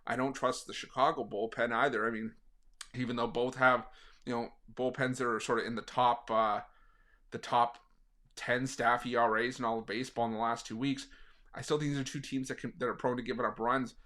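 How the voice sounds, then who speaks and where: A hoarse, slightly raspy man talking casually through a sports betting pick, with plenty of fillers. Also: mid-range voice, level tone, brisk, bright, forceful tone. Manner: conversational, frequent fillers.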